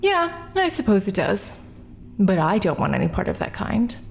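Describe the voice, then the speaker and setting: An actress playing a defensive, self-righteous middle-aged woman testifying at an inquest, talking in an indignant, sharp-tongued way. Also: medium pitch, huge pitch swings, moderate pace, gravelly, faint music behind. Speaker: witness at an inquest. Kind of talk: 1940s radio acting.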